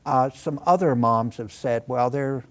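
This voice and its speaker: A man's voice: mid-range voice, moderate pace. A man hosting an interview, opening with a scripted introduction and then asking polite, curious questions. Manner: courteous, inquisitive. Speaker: interview host.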